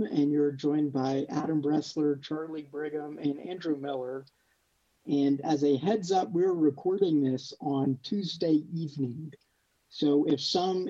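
Young guy doing casual sports report, highish mellow voice, dull lofi audio. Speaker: host of a campus sports report. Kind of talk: casual sports show introduction.

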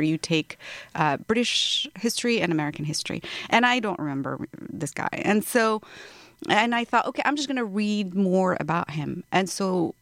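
A middle-aged woman author from Morocco, speaking thoughtfully in an interview and growing animated as she tells her story. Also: lowish voice, creaky, dry recording. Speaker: author guest. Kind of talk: interview conversation.

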